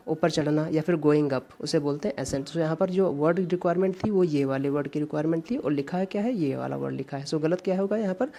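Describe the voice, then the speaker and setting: A woman from India teaching English grammar for exams, going through questions one by one and reading each example sentence slowly and deliberately. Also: low voice, mellow.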